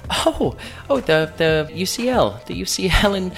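A man playing a proud, envious older brother and mentor in improvised radio theater, halting and self-important as he talks. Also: sits high, big pitch swings, vocal fry, a bit bright, heavily acted. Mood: envious, flustered. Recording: music playing over the voice.

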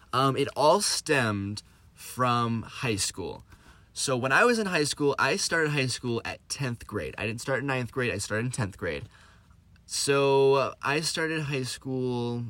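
A man's voice: medium pitch, pushed and bright, dry recording. A young man hosting his own podcast, telling stories in a rambling, self-deprecating way with lots of fillers. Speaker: podcast host. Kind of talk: casual storytelling monologue.

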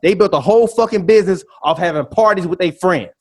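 Fired-up high-voiced man ranting. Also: quick pace. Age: 30s. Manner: ranting, profane, repeats himself insistently. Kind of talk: heated casual debate.

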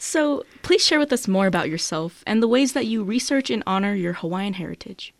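A woman's voice: medium pitch, animated, fairly quick, vocal fry, no echo. Polite young female interviewer, dry sound. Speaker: youth producer and interviewer. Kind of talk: prepared radio interview.

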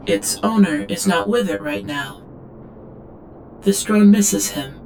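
A woman's voice: mid-range voice, slow pace, vocal fry, darkish tone, a little hiss or hum, low-quality recording. An actress playing an obedient female android drone in an audio drama, reciting logs in a clipped, literal, detached way. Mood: quietly wistful. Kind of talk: audio drama log narration.

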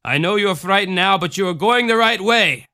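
A man voicing a gentle, solemn motherly woman who comforts a child, speaking slowly and deliberately. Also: high-pitched, smooth, voice pushed up, exaggerated voice. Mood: comforting. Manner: slow, calm, deliberate speech.

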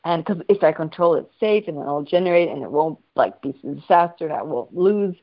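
A woman's voice: deep voice, animated, fast, muffled recording. A woman from Sweden, a guest in a casual interview, speaking enthusiastically and playfully from home over a video call. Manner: enthusiastic, free-flowing, playful. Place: at home in Sweden with her dog.

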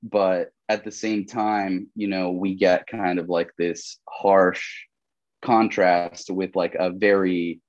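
A man's voice: deep voice, takes it slowly, dry recording, lo-fi sound. A young man from Colombia, a podcast guest talking casually, with lots of "like" and "you know" fillers. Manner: relaxed, rambling, many fillers like "like" and "you know". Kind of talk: casual conversational interview.

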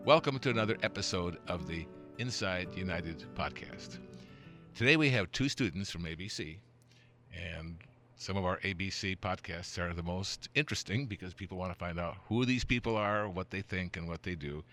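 A very low-voiced older man hosts a relaxed podcast interview. He talks in long, meandering sentences, restarts himself often and chuckles here and there. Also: normal speed, faint music behind. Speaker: podcast host. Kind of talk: casual interview.

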